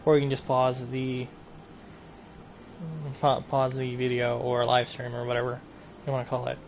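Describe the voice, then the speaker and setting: A man's voice: medium pitch, completely flat delivery, normal speed, creaky. Male gaming streamer, casual and repetitive, mid-range voice on a dull lo-fi mic. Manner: rambling, repeats himself, trails off with "and everything". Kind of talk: casual mod showcase. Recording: dull lo-fi home recording, faint noise.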